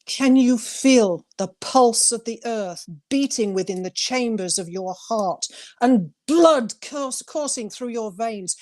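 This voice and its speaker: A woman with a fairly high voice speaks as a guest poet. Her pace is very unhurried, and she phrases things deliberately, as at a poetry reading. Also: a little mellow. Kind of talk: poetry reading with brief informal introduction.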